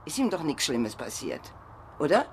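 Brief: naive, rambling girlfriend in a crime radio play, insistent, very animated pitch, faint noise behind. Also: moderate pace. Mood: chatty, worried. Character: naive chatty woman, worried girlfriend under police questioning. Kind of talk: radio crime drama acting.

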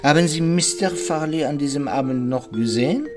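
An actor playing a shrewd, courteous detective in a radio crime drama, with formal, probing speech full of ponderous pauses and murmurs. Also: male voice, fairly high pitch, music in the background, lightly acted. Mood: inquisitive. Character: shrewd, courteous male detective questioning witnesses.

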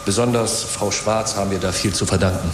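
In an echoey space with noisy ambience, an actor plays a jovial male company spokesman. His lowish voice is chatty and salesman-like, in radio drama style. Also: steady tone, fairly quick, close to an everyday voice. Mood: jovial, eager. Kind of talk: naturalistic radio drama acting.

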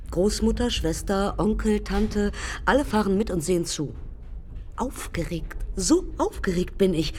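A woman's voice: lowish voice, darkish tone, slight background noise. An actress playing an eager, headstrong young woman pilot of the 1920s in a radio play, telling her story reflectively in clipped fragments. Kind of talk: radio play monologue and dialogue.

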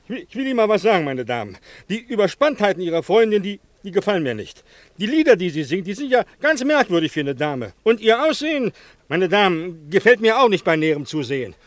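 A man's voice: sits high, big pitch swings, quick pace, dry recording. An actor playing an officious, indignant male policeman in a radio play, formal but stammering. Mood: flustered, indignant. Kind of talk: German radio play acting.

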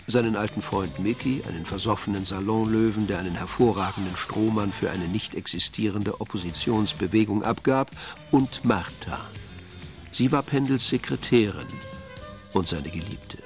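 A man's voice: lowish voice, normal speed, over music. A man narrating a radio crime drama from a script, in a wry storytelling manner.

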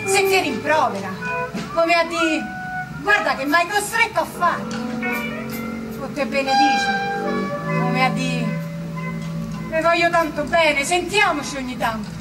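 A spirited woman of the Roman people speaks in a very high, swooping voice. She has a Roman accent and a theatrical radio-drama delivery, exclaiming and arguing through rhetorical questions. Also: very animated, measured pace, pauses often, over loud music, low-quality recording. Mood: vivid storytelling. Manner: exclamatory, rhetorical, repeating words. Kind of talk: theatrical radio storytelling with acted dialogue.

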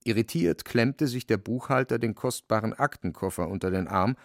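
An actor as the storyteller of a radio play, narrating in a measured, wryly dramatic way with a creaky voice. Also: male voice, lowish voice, no echo, studio quality, lightly acted.

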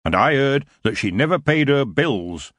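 A man voicing a grumbling male dwarf shop helper in a storybook reading, with a husky voice. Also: mid-range voice, lively intonation, moderate pace, a bit bright, no echo, studio quality, voice pushed up. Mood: grumpy. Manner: grumbling, knowing.

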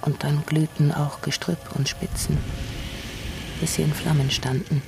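A woman's voice: low-pitched, flat delivery, measured pace, gravelly. A woman narrating a literary radio drama in a scripted storytelling style. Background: music.

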